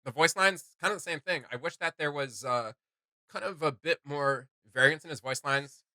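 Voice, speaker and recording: A man's voice: on the high side, normal speed, sharp tone, dry recording. A man hosting a fan reaction show, giving casual, slangy and enthusiastic commentary.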